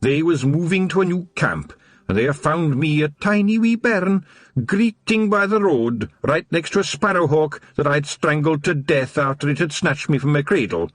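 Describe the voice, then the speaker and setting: A man's voice: on the high side, lively intonation, moderate pace, no echo, voice pushed up, natural voice. A man voicing a tiny Scottish fairy watchman, stubborn, earnest and easily indignant.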